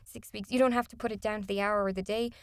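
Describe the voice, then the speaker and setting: A woman whose first language is not English explains contract pitfalls in an interview, emphatic and steady. Her mid-pitched voice has a gravelly fry, and the sound is close and dry. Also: fast talker, no room sound. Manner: explanatory, emphatic, some restarts. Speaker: contracts and disputes consultant. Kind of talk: interview answers.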